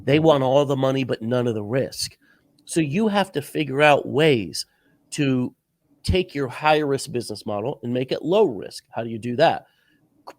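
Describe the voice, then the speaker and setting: A man's voice: mid-range voice, no echo. A man hosts an easygoing interview while fighting a sore throat and a stuffy nose. He circles his points and repeats words as he thinks. The sound is close and dry, with no room around it. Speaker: podcast host interviewing a guest. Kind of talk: casual interview chat.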